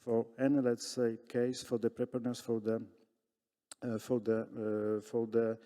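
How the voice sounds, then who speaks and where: A man with a Polish accent speaks on a conference panel, stopping and restarting often and filling gaps with "uh" and "let's say". His voice is dark and mellow. The sound rings with a long reverb from a large hall. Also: medium pitch, completely flat delivery. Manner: halting, many fillers and restarts.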